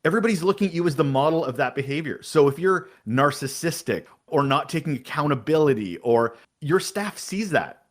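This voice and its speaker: A man's voice: a little high, brisk, few pauses. A man guesting on a podcast, talking emphatically and energetically in an interview. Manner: energetic, emphatic, talks about having a big voice. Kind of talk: interview answers on leadership.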